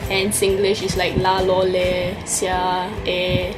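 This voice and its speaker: A woman's voice: on the low side, monotone, takes it slowly, poor audio. Young Singaporean woman vlogger, casual question-tag chat, Singaporean English accent, music playing underneath. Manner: chatty, answers questions from a list.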